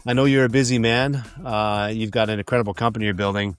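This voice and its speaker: A man hosting a podcast, chatting with a guest in a relaxed and friendly way, with fillers along the way. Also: on the low side, fast talker, clean tone, no echo, faint music behind. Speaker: podcast host. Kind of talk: casual interview chat.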